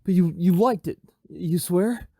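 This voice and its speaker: A man's voice: sits high, measured pace, vocal fry, dark tone, husky, dry recording, clean studio sound, exaggerated voice. A young man playing an anxious, obsessively lovesick teenage boy, stammering and repeating himself in a motion comic drama. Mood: nervous, tender.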